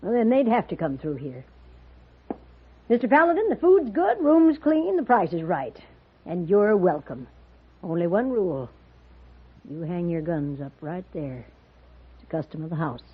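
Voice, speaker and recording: An actress playing a plainspoken, bossy but hospitable frontier boardinghouse matron with a rural Western American accent in a radio drama. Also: female voice, lowish voice, very animated, moderate pace, pauses often, slightly rough. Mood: firm. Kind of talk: 1950s radio drama acting. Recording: muffled vintage radio recording.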